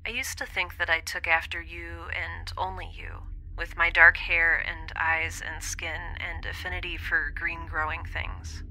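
A woman's voice: fairly low pitch, little pitch movement, a little slow, hardly any pauses, pushed and bright, slight background noise, rough recording. A woman playing a lonely, wistful young space traveller in an intimate audio diary drama, hesitant and introspective, often dropping toward a whisper. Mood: reflective, bittersweet. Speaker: podcast audio drama lead.

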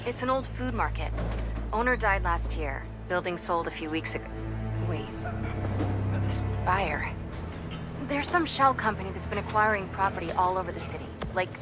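Actress as young female reporter, American accent, sharp, supportive, medium pitch, game dialogue, loud music. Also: close to an everyday voice. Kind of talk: video game voice acting.

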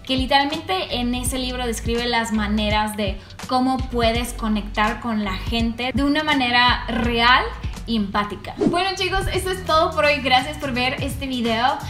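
A young woman with a Russian accent chats to camera in a lively, casual way, her high voice bouncing off the walls of a small echoey room. Faint music sits underneath her speech. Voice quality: animated, gestures widely with her hands. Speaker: vlogger. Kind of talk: casual Q&A vlog.

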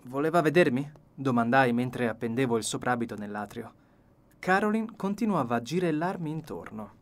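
A man's voice: medium pitch, normal speed. A man reading a novel as an audiobook narrator in scripted literary narration.

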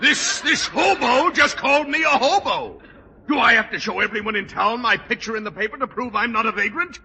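A man's voice: very high-pitched, animated, fairly quick, pushed and bright, husky, exaggerated voice. An actor playing a pompous, blustering state school board chairman with formal speech in a 1940s radio sitcom. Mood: indignant. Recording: noisy vintage 1940s radio broadcast.

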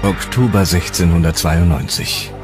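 Very deep male documentary narrator. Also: steady tone. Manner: measured, serious. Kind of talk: scripted narration, historical documentary. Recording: music underneath.

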